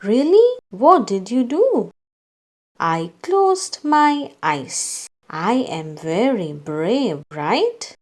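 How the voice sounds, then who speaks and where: A woman reading a children's story aloud, acting out its dialogue in a deliberate way meant for young listeners. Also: on the high side, sing-song, a little mellow, faint music behind.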